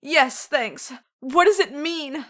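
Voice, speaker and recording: A woman acts out an anxious female office colleague in an amateur comic dub. Her voice is extremely high and piercing, and she speaks slowly, sounding easily shaken. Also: lively intonation, a bit bright, heavily acted.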